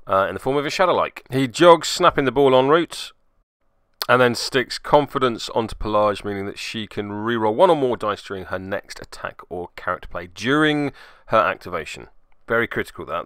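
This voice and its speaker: A man's voice: mid-range voice, bright, forceful tone, smooth, no room sound, clean studio sound. A man hosting a tabletop miniatures game report, giving semi-scripted play-by-play with wry, playfully mock-dramatic asides.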